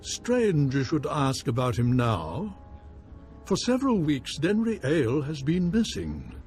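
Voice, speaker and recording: A man's voice: a little high, wildly swooping pitch, measured pace, darkish tone, faint music behind. A man playing a gracious noble baron in a video game, speaking in formal, courtly phrases.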